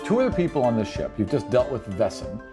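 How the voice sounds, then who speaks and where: A man narrating a tabletop fantasy game as game master, improvising in an animated way and repeating phrases for emphasis. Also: medium pitch, fast, loud music behind the voice.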